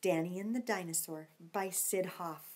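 A woman's voice: on the low side, moderate pace, noisy background. A middle-aged woman reading a storybook expressively to children, with simple chatty asides and questions. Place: living room at home.

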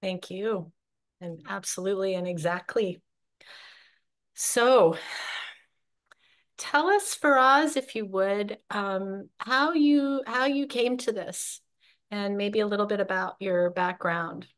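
A middle-aged woman speaks as an interviewer from home over a video call. Her pitch sits in the middle for a woman. She puts her questions conversationally and very unhurriedly. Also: takes it slowly, pauses often, fairly bright tone. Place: living room at home.

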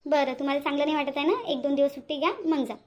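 A woman's voice: high-pitched, steady tone, racing, darkish tone, close to an everyday voice. A woman voicing a caring, dutiful sister-in-law in a household cartoon, coaxing and advising in Varhadi-accented Marathi. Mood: reassuring. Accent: Varhadi Marathi, Vidarbha. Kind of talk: amateur cartoon voice-over.

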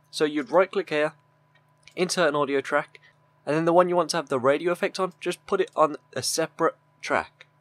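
A young man giving a casual, upbeat YouTuber voice-over for a software tutorial. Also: fairly high pitch, no echo. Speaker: tutorial video host. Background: background music.

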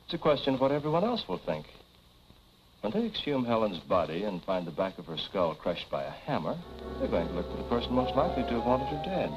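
An actor playing a suave, quietly menacing man in his thirties, speaking smoothly and formally in 1940s film style. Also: fairly low pitch, big pitch swings, fast talker. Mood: insinuating. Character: suave, cool male family friend, quietly insinuating and menacing. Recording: dull 1940s film soundtrack with music.

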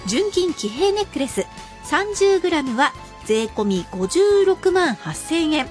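High, animated woman pitching on radio shopping. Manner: emphatic, slowing to spell out prices and numbers. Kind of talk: live radio sales pitch. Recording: music bed under the voice.

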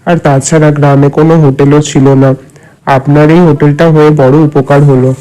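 Courteous, even-toned male traveller played by a man. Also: a little high, brisk, natural voice, low-quality recording. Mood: appreciative. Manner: polite, appreciative. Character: courteous, generous male traveller, village guest. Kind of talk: Bengali moral-tale cartoon dubbing.

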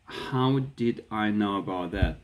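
A man's voice: on the low side, slow pace. A man in his thirties with a Ukrainian accent, talking to a phone camera in a small room and gesturing as he speaks. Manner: animated, gestures with his hands while talking. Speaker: vlogger. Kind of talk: casual talk to camera. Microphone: phone camera at arm's length.

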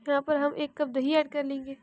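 A woman's voice: high-pitched, level tone, moderate pace, a little mellow, no room sound. A woman hosting a cooking channel, narrating a recipe step by step in a plain, repetitive instructional voice-over.

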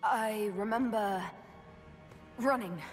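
A woman's voice: mid-range voice, slow pace, in a large hall, faint music behind, lightly acted. An actress playing a bewildered, earnest young elf woman in a fantasy game, speaking hesitantly. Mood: confused, uneasy. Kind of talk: dramatic fantasy game acting.

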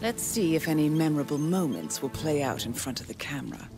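An actress playing a composed female handler, guiding an assassin in a measured, professional way in a video game. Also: low-pitched, lively intonation, over music. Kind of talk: video game mission briefing.

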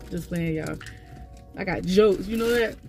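A woman's voice: fairly low pitch, slow pace, vocal fry, a little mellow, soft background music. A woman, chatting to her phone camera from a parked car. She sounds congested and rambles, with lots of fillers and restarts. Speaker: vlogger. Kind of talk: casual vlog chat to camera. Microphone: phone camera held close.

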